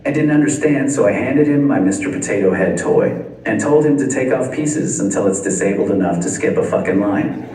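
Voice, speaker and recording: A young Canadian man performing a stand-up comedy set, delivering dry one-liners deadpan at a live venue. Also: mid-range voice, brisk. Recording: echoey venue from far off.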